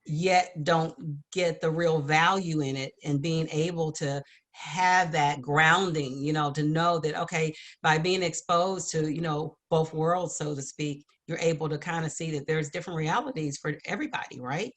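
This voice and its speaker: A woman's voice: low-pitched, moderate pace. A woman hosting an interview show in an effusive, affirming and encouraging way.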